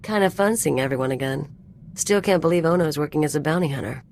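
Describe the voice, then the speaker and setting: A woman's voice: deep voice, fast, vocal fry, darkish tone, a little hiss or hum. A woman playing a brash, sardonic gangster sidekick in a video game, talking in cocky, casual slang. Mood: wry, cocky. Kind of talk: video game companion dialogue acting.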